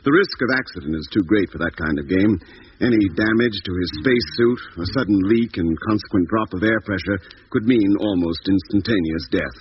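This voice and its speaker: An actor playing a calm expedition doctor in a 1950s radio drama, speaking with a measured, precise delivery. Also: male voice, fairly low pitch, close to an everyday voice. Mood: sober narration. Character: doctor on a lunar expedition, calm diary-keeping narrator. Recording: muffled, faintly noisy 1950s radio recording.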